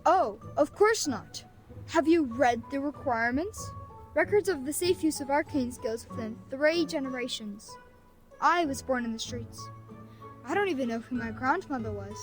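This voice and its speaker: A woman's voice: high voice, animated, moderate pace, faint music behind. Woman voicing a sassy street boy. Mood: sardonic. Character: sassy streetwise boy, cynical about rules. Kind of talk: game voice acting.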